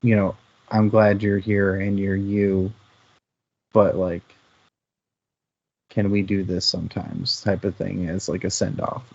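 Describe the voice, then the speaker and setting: A man's voice: low-pitched, level tone, takes it slowly, creaky, pauses often. A man co-hosting a casual book discussion, talking in a hesitant, rambling way that often doubles back on itself. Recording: muffled, band-limited online audio.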